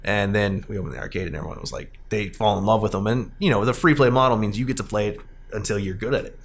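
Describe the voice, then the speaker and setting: A man's voice: lowish voice, fast talker. A man hosting a casual podcast discussion, talking in an enthusiastic, rambling way. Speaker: podcast host and arcade owner. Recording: clear background noise.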